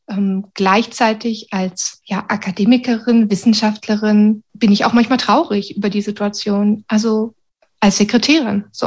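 A middle-aged woman from Berlin talking candidly and reflectively about personal experience in an interview. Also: medium pitch. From Berlin, Germany. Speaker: interviewee, university secretary with a doctorate.

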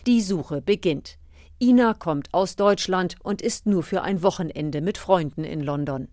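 A female reporter narrates a scripted radio feature. Her voice sits a little low for a woman, in the measured delivery of a prepared report. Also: a little mellow, dry recording, studio quality.

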